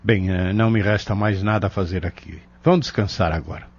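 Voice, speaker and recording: Husky, low-voiced calm pharmacist played by a man. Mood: reassuring. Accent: Brazilian. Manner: polite, measured, gently authoritative. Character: calm, reserved pharmacist attending a sick young man. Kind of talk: audio drama acting. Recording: dull lo-fi audio.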